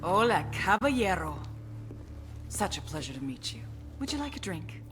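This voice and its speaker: An actress playing a cool female intelligence agent who coaxes with controlled, quiet menace in game dialogue. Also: on the low side, big pitch swings, normal speed. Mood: smooth, teasing. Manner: coaxing, controlled, quietly threatening. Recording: dialogue over music.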